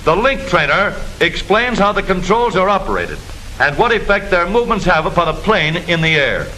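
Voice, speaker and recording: A man's voice: sits high, very animated, moderate pace, pushed and bright. An American man delivering scripted 1940s newsreel-style narration for a military training film, in a declamatory, instructive manner. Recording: noisy old film soundtrack.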